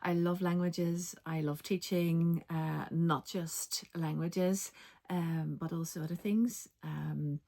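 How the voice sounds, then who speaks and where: A middle-aged woman with a light Dutch accent talks in a relaxed, chatty way, pausing on ums and correcting herself as she goes. Her voice sits fairly low and has a gravelly edge. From Netherlands. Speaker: knitting vlogger and linguist. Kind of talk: casual vlog chat.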